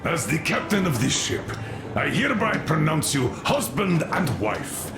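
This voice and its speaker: An actor playing a stern, fatherly older colonel in a video game, speaking deliberately and formally with authority. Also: on the high side, sing-song, creaky, echoey room, loud music behind the voice, heavily acted. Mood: solemn. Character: stern older male colonel, commanding, fatherly train captain. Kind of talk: dramatic video game voice acting.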